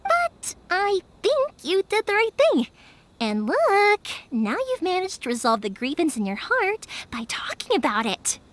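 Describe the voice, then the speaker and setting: A woman's voice: very high-pitched, measured pace, exaggerated voice. A woman voicing an upbeat, talkative, perceptive young fireworks maker in a video game. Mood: encouraging, cheerful. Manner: lively, encouraging, talkative. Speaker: video game character actor. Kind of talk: animated anime-style game acting. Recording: music behind the voice.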